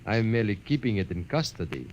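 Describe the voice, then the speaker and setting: A suave, sinister old-radio dollmaker. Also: male voice, lowish voice, very animated, fast, darkish tone, lo-fi sound. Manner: suave, formal, theatrical. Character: courtly, sinister male dollmaker blackmailer. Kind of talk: old radio drama acting. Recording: faintly noisy old radio recording.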